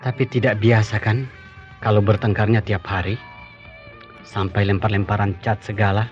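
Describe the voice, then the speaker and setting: A man acting a persistent doctor suitor in a radio drama, earnest and probing in a low voice. The recording is muffled, with music underneath. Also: level tone, normal speed, natural voice. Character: earnest, persistent male doctor courting a colleague.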